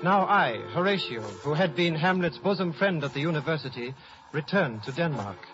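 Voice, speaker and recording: Male actor as earnest scholar, theatrical, higher register, muffled vintage radio, music behind. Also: lively intonation, lightly acted. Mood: solemn. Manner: measured, solemn, theatrical. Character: Horatio, earnest loyal scholar recounting a tragedy. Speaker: narrator. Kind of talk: 1950s radio Shakespeare acting and narration. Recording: muffled 1950s radio broadcast.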